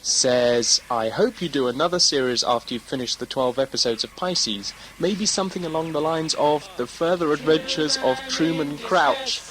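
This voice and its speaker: A man's voice: on the high side, normal speed, few pauses, a bit bright, poor audio. A man presenting in a chatty, scripted style, reading letters aloud expressively as host. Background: music.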